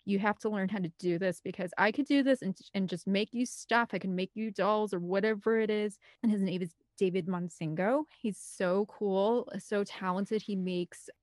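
A woman's voice: medium pitch, normal speed, few pauses, darkish tone, no room sound, studio quality. An American woman in her thirties from the Midwest, talking casually and enthusiastically in a rambling, hyper way as an interview guest. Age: 30s. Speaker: guest, film composer and director.

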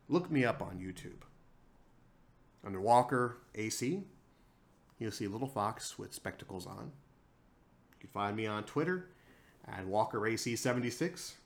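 A man at a middle pitch delivers a passionate solo rant on his own podcast. He leans hard on repeated lines and lets his pitch and volume surge and drop. The sound comes from a single mic on a laptop. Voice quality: self-described monotone voice. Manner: emphatic and repetitive, with big swings in pitch and loudness. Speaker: podcast host. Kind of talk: impassioned solo podcast monologue.

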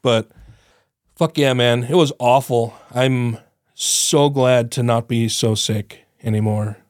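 A man chatting casually on a podcast, his voice still a little congested and nasal. He rambles, swears freely and laughs often. His voice is medium-pitched, recorded close and dry. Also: steady tone, slow, darkish tone, no room sound. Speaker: podcast co-host. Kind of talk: casual chat.

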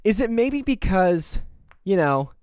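A man's voice: high-pitched, sing-song, takes it slowly, darkish tone, smooth, no echo, studio quality. A man in his twenties hosting a solo podcast, talking in a sarcastic, combative, rambling way. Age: 20s. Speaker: podcast host. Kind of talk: opinionated solo commentary.

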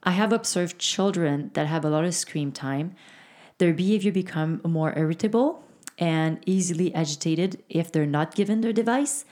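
A woman in her forties hosting a parenting podcast, opening the show and steering the talk between guests in an upbeat, brisk way. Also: sits low, warm tone. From Canada. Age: 40s. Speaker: podcast host.

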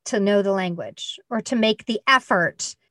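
A woman from the United States hosting a casual interview, chatty and animated, often acting out other people's voices when she tells a story. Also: medium pitch, moderate pace, no room sound, studio quality.

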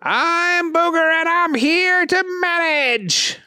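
A storyteller voicing a dim-witted, slobby grown man with bad teeth, talking in a slow, bewildered drawl. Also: very high voice, bright, forceful tone, dry recording, studio quality, pitched above the performer's own voice, full character voice.

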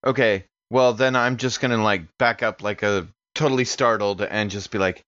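A man's voice: on the low side, steady tone, normal speed, a bit bright, no echo, dull audio. A man chatting casually as a player in a tabletop game.

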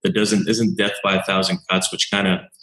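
A doctor and founder in his thirties, telling stories in an animated way and acting out quoted exchanges, with lots of "you know" fillers. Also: low voice, a little fast, roomy sound. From Canada. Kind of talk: conversational interview answers.

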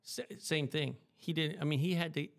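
A man hosting a casual interview, chatty and encouraging, quick to praise his guest. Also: a little high, steady tone. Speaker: interviewer, host.

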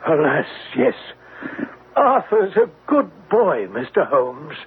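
A troubled old father in classic radio drama. His voice is highish and gravelly, with halting, confessional phrasing full of agitation. It comes through thin and narrow, like an old broadcast. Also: very animated, full character voice. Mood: rueful, agitated. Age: older. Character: distraught, remorseful elderly English banker and father. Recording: tinny, band-limited old radio, faint hiss.

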